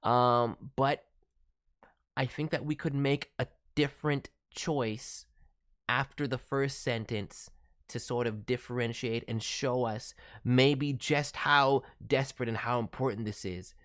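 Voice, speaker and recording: A man's voice: medium pitch, fairly even intonation, takes it slowly, a bit bright. A man giving casual, thoughtful critique as a voice director, hedging as he talks. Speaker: voice director reviewing demo reels.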